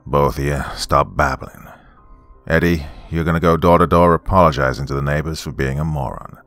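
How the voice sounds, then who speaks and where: A man voicing a burly, stern steelworker father in a booming, gruff voice, as in a told story. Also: moderate pace, a bit bright, dry recording. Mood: stern, angry. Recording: music behind the voice.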